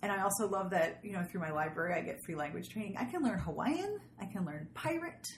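A woman's voice: mid-range voice, lively intonation, fast talker. Woman podcast host, gushy n casual, swears a bit, roomy echo, slight noise. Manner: effusive, exclamatory, swears casually. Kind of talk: casual interview chat. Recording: echoey room with background noise.

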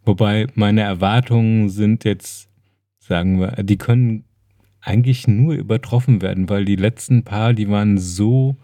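A man with a fairly low, very mellow voice. He talks in the casual style of a podcast co-host, and the recording is close and dry. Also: steady tone, moderate pace, dry recording. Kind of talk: casual chat.

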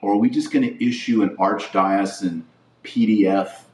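Man, lowish voice, indignant podcast commentator, emphatic and repetitive, roomy echo, slightly off the mic. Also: fairly even intonation, normal speed, a little mellow, a little hiss or hum. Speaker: podcast host and religious commentator. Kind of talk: impassioned opinion monologue to camera. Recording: echoey room, slightly distant mic.

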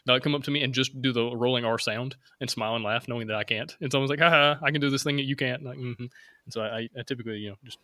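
A Southern American man in his thirties hosts a loose, rambling chat, talking fast with a bright, forward tone. He laughs easily and circles back on his words. His voice sits dry and close to the microphone. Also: medium pitch, steady tone, no room sound. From Alabama. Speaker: podcast host. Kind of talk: casual interview chat.

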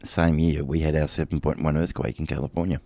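A man's voice: a bass voice, flat delivery, fairly quick, gravelly, warm tone, dry recording. An older man from the United States, co-hosting a radio chat with dry jokes and anecdotes. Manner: dry, joking, tells anecdotes. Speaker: radio show co-host.

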